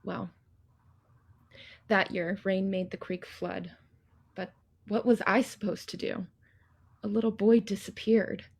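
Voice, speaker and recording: A woman performing a wistful childhood memory as a theatrical poetic monologue, in a measured, husky, creaky voice. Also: medium pitch, fairly even intonation.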